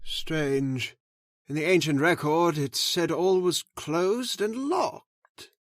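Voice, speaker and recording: An actor playing a credulous old man steeped in occult lore, speaking slowly and solemnly in old-time radio drama style. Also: fairly high pitch, no echo, studio quality. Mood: grave. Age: older. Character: credulous old male scholar of the occult, grave.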